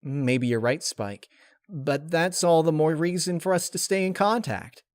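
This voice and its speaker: A man's voice: fairly high pitch, a little slow, no echo, studio quality, pitched above the performer's own voice, close to an everyday voice. A man voicing a brash, impulsive young female pegasus pony in a story reading, casual and direct. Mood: friendly, reassuring.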